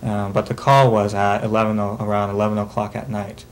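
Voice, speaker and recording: Low, flat-voiced man recounting casually. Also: a little fast. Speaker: documentary interviewee. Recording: faint noise, amateur video interview.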